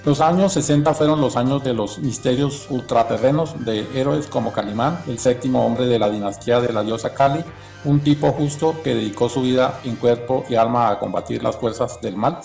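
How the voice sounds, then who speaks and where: A man's voice: mid-range voice, moderate pace, gravelly, darkish tone, faint music behind. A man reading scripted documentary narration.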